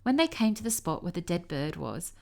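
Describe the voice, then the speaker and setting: Woman reading a fairy tale out loud, kinda low voice, expressive storyteller. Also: fairly quick, vocal fry. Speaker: storybook narrator. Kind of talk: read-aloud fairy tale narration.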